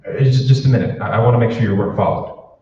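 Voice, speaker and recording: A man's voice: medium pitch, fast, dark tone, natural voice. A man playing an anxious, furtive conspirator in an old-time radio drama, with a hesitant, secretive delivery. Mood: nervous, secretive. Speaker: amateur stage actor. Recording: roomy, distant, dull hall recording with hiss.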